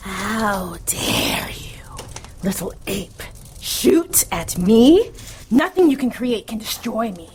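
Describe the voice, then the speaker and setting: A cruel, seductive demoness in an audio drama. She draws out her taunts slowly and swoops through her pitch with theatrical relish, over noticeable background noise. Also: expressive, a little mellow. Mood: furious, menacing. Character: hideous female demon, cruel, mocking, seductive and vengeful.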